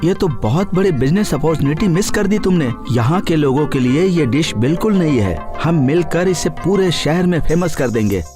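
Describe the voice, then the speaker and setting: Male actor as wealthy client, snobbish, haughty, disapproving, animated moral tale, music clearly underneath. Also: a little high, normal speed, few pauses, lightly acted. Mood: chiding, eager. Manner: haughty, critical. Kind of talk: animated moral story dialogue.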